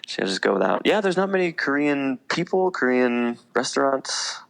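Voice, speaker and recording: A man's voice: medium pitch, moderate pace, creaky. A man in his thirties, a podcast guest, telling stories in easy casual chat with frequent fillers and laughs. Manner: relaxed storyteller, laughs often, many fillers. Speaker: podcast guest, veteran and teacher.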